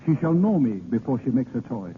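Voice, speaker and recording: An actor playing a gallant, proud, romantic poet and marshal in a 1930s radio drama, with theatrical, courtly phrasing. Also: male voice, mid-range voice, very animated, brisk, natural voice. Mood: resolute. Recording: noisy, tinny 1930s radio broadcast.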